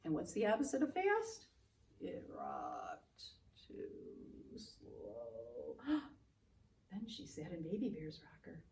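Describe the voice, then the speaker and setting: A woman's voice: a little high, vocal fry, distant mic, a little hiss or hum. A woman preschool teacher telling a children's story with exaggerated expression, speaking directly to young listeners. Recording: roomy, away from microphone.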